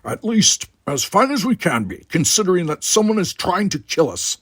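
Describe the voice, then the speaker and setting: A man's voice: high voice, very animated, moderate pace, husky, dry recording, studio quality, pitched above the performer's own voice, full character voice. A man voicing a stern, commanding young Klingon cadet in a storybook reading, with emphatic, brusque orders. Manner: barking, emphatic orders without contractions.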